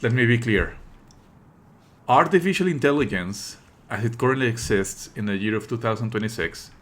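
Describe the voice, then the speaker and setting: A man streaming live commentary, explaining in an opinionated, pedantic way with halting delivery and emphatic stresses. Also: medium pitch, expressive, fairly bright tone. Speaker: streamer and host.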